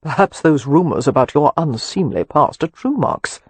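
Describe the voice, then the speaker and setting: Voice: mid-range voice, animated, normal speed, slightly rough, no echo. A narrator voicing a hot-tempered, sardonic young nobleman in an audiobook. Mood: teasing. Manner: furious, raised voice. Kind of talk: audiobook character voice.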